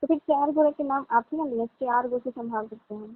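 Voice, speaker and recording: High-voiced woman on a tinny phone line. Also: fairly quick. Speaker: caller. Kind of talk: casual flirtatious phone chat. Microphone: phone call. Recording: thin narrow-band phone line.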